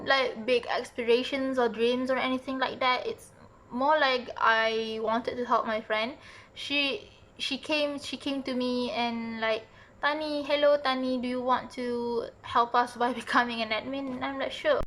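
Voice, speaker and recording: A twenty-year-old woman answers casually, with hesitations, in a slightly high voice. Her phrases often trail off softly. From Malaysia. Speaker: fan base staff. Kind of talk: interview answers.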